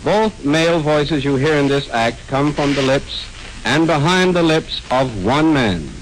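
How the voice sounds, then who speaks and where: A man explains the act as a 1930s radio announcer, emphatic and somewhat high-pitched. Faint hiss sits behind his voice on this old recording. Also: measured pace, poor audio.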